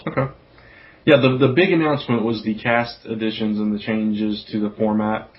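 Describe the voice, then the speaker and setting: A man's voice: lowish voice, fairly even intonation, normal speed. A man hosting a podcast in casual chat, talking in an informal, rambling way. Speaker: podcast host. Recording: dull, lo-fi podcast audio.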